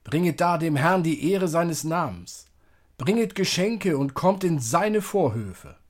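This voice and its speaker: A man's voice: a little high, animated, unhurried. A man reading psalm and prayer as a church service leader, solemn and declamatory.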